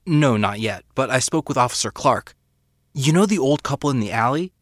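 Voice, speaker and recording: A man's voice: mid-range voice, expressive, moderate pace, no echo, clean studio sound, close to an everyday voice. An actor playing a keen police detective in his thirties in a crime audio drama. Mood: intrigued.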